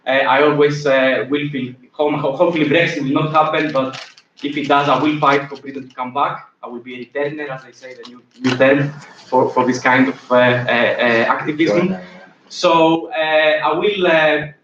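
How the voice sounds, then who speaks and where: A man's voice: medium pitch, steady tone, normal speed, a bit bright, slight background noise. A man with a Greek accent giving a conference talk as a professor, hesitant with many fillers. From Greece. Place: conference room. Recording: roomy hall from far off, dull sound.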